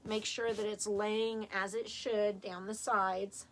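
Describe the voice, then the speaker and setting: A woman walks through a sewing project as she works, chatting and correcting herself as she goes. Her voice is mid-pitched and a bit raspy from a long session. A faint noise sits under her in the indoor room. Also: level tone, slow, fairly bright tone, rough recording. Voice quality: slightly raspy. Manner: chatty, talks while working, restarts often. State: tired, a little hoarse. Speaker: sewing instructor. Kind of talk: live tutorial demonstration.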